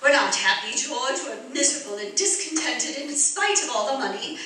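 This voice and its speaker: A woman's voice: sits high, moderate pace, a crowd in the background, lightly acted. A woman acting a spoiled, haughty, discontented rich wife in a radio play, with theatrical petulance. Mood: miserable. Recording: distant, very echoey stage recording.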